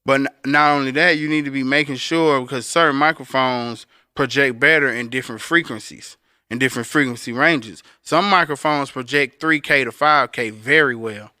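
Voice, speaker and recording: A man with a bright, forceful voice and a clear, resonant tone talks casually as a podcast co-host. He explains things like a working engineer, straight to the listener, in a close, dry recording. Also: normal speed, dry recording. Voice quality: deep voice. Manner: conversational explainer, addressing listeners directly. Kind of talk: casual instructional talk.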